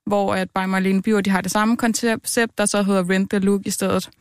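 A woman's voice: medium pitch, level tone, smooth, no echo, studio quality. A woman, chatting informally as an interview guest and dropping in English phrases. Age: 20s. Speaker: interview guest, master's student. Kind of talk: casual chat.

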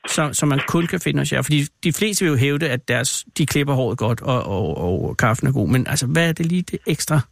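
A man's voice: mid-range voice, expressive, vocal fry, few pauses, dry recording, clean studio sound. A man hosting a casual radio interview, feeling his way through questions with hesitant phrasing and teasing asides. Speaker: radio host interviewing a caller. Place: radio studio.

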